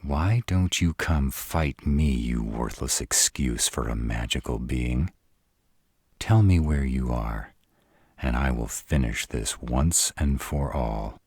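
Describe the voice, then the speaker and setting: A man's voice: rumbling low, darkish tone, husky, no room sound, clean studio sound, full character voice. A man playing a protective, devoted but brash were-dragon lover in a roleplay audio drama, speaking huskily and bluntly, with tenderness for a partner. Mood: scornful. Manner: slow, crude-tongued, tender with partner.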